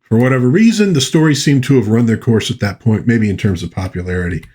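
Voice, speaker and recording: Slightly husky man, chatty pop culture host. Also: mid-range voice, quick pace, darkish tone. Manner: conversational, self-correcting, enthusiastic fan. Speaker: host of a pop culture channel reviewing old pulp fiction. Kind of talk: casual rambling book review to viewers, with short read-aloud passages.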